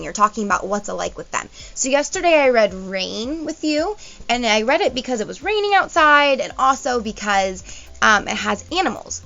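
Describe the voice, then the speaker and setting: Expressive woman reading aloud, creaky voice. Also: mid-range voice, lo-fi sound. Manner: expressive storytelling, explains to young listeners. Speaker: teacher reading aloud to children. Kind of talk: children's picture book read-aloud with asides.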